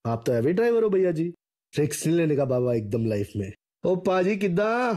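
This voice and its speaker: Husky, high-pitched man barking game callouts. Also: big pitch swings, moderate pace, dark tone. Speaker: gaming creator voicing a game voice pack. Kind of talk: short scripted gaming callouts and catchphrases.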